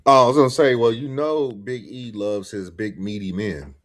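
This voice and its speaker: Teasing man in his forties, mid-pitched voice. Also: normal speed. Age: 40s. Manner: teasing, joking. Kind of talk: casual chat.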